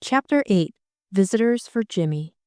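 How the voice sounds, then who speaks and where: A woman's voice: medium pitch, expressive, normal speed, a little mellow, dry recording, clean studio sound. A woman reading scripted story narration as an audiobook narrator.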